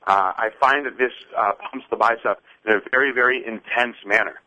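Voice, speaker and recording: A man of thirty, a guest on a radio show, calmly explaining his workout methods step by step. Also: mid-range voice, brisk, poor audio. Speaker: fitness guest. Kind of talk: casual interview answers.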